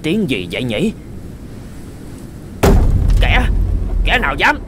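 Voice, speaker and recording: A man's voice: fairly high pitch, huge pitch swings, slow pace, heavy background noise, full character voice. A man voicing a greedy, cowardly thief in a cartoon, with theatrical, jittery delivery. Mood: terrified. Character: greedy, cowardly male thief in haunted castle. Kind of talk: children's cartoon dubbing.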